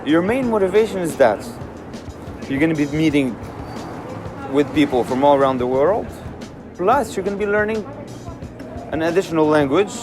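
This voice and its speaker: A man's voice: on the high side, animated, moderate pace, long pauses. A man from Morocco in his twenties, answering interview questions in a candid, easygoing way with frequent fillers. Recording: music under voice.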